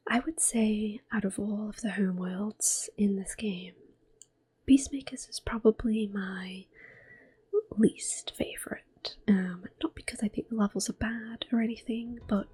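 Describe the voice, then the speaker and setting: A woman speaking in a hushed, unhurried, gentle whisper as she gives ASMR game commentary. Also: medium pitch, steady tone, breathy edge. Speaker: host of an ASMR gameplay series. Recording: clicking controller noise. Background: controller clicks.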